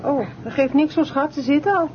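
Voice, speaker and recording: A woman's voice: high voice, fairly quick, close to an everyday voice. A woman acting a motherly wife in a radio play who takes charge of a camping party and keeps everyone in order. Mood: organising. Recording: muffled radio recording, noisy background.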